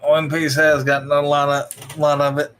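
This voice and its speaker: Livestream host just chatting, rambly offhand remarks, middle of the road pitch. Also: level tone, normal speed, a bit bright, clean tone. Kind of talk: casual livestream chat.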